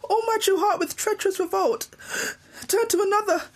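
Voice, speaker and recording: A woman's voice: very high voice, a little fast. A woman playing a passionate, defiant young girl in love, declaiming in a Shakespeare audio drama. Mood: desperate. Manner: declamatory, impassioned.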